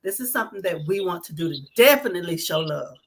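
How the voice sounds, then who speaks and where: A woman hosting a live online talk show, giving energetic welcomes and shout-outs. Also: on the low side, fast talker, breathy edge. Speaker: host.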